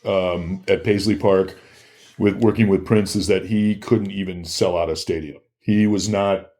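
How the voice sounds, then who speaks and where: Low-voiced man telling stories casually. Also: steady tone, measured pace, dark tone. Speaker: interview guest, former bodyguard. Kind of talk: casual anecdotal chat.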